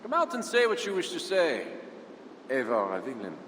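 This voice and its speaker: A man acting a proud, shrewd Frankish count in a video game, speaking formally and with pointed, measured questions. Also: fairly high pitch, wildly swooping pitch, a bit bright, a little hiss or hum. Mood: guarded, sardonic. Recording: reverberant hall, faint background ambience.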